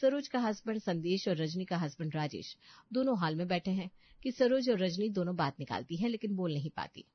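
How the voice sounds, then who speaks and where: A woman's voice: lowish voice, lively intonation, a little fast, dry recording, lo-fi sound. A woman narrating a scripted story as a storyteller.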